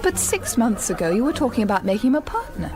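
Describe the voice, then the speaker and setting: Polite British darling, animated, highish. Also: female voice, fast talker, over music, poor audio. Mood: gently probing. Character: tactful, affectionate British woman, the boss's partner. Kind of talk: British radio drama acting.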